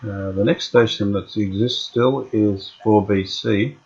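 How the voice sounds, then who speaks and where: Aussie guy fixing an old radio, kinda low voice, just talking thru what he's doing, muffled lo-fi recording. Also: steady tone, darkish tone, breathy edge. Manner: thinks aloud while working, chatty and unhurried. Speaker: vintage radio restorer. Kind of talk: casual hands-on workshop narration.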